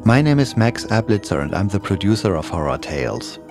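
A man's voice: low voice, very animated, a little fast, over music. A man narrating a scripted first-person horror story as a podcast host.

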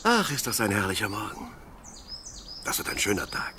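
Animated young shepherd boy. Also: fairly low pitch, normal speed, noisy background. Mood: contented. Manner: playful, expressive, talks to himself and his sheep. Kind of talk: audio play acting.

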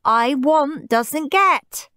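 A woman's voice: high voice, expressive, drawn-out words, pushed and bright, no room sound, clean studio sound, voice pushed up, heavily acted. A woman voicing a prim, polite, goody-goody little boy in a children's storybook. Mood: prim scolding.

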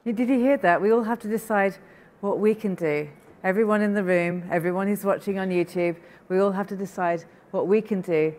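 Woman hosting a panel, emphatic and rallying, mid pitch. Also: brisk, a little mellow. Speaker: panel moderator. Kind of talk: live event moderation.

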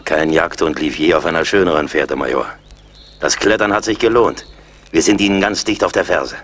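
Casting: confident woodsman scout in radio drama, very low voice, wry, faint noise behind. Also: moderate pace, a bit bright. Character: seasoned frontier scout, confident, wry, steady leader. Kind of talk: German radio play acting.

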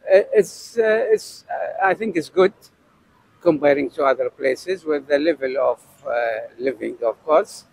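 An older man from Arabia, answering a street interview in a slow, halting way on a city street into a handheld mic. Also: fairly high pitch, a little mellow, slight background noise. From Saudi Arabia. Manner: slow and halting, searching for words. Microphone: handheld mic held toward him.

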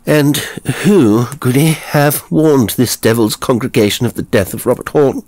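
A man's voice: medium pitch, unhurried, darkish tone, pitched above the performer's own voice, close to an everyday voice. A man voicing an arrogant, wicked aristocrat in a horror story, imperious, commanding and sneering as he questions. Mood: sneering inquiry.

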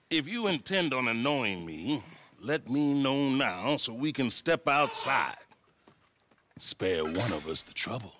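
A stern, menacing frontier sheriff in a video game cutscene. He speaks slowly, with a bright, forceful edge, and his lively pitch swoops up and down as he lays down the law. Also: a little hiss or hum. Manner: slow, measured threats with sudden swells.

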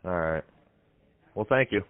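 A young man speaks in a low voice with a slightly dulled, dry sound. He rambles earnestly, as a prank caller improvising on the phone. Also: expressive, a little slow, no room sound, narrow, tinny audio. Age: young adult. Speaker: prank caller on a comedy show.

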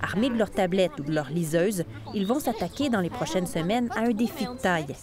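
A woman with a Québécois accent narrating a news report in a measured, clear voice. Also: medium pitch, lively intonation. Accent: Québécois French. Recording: voice-over with music behind.

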